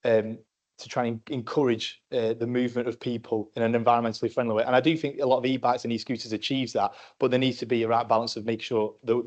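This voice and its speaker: A man's voice: mid-range voice, a little mellow. A man speaking as a councillor at a council meeting, answering a question with many fillers and restarts. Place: council chamber.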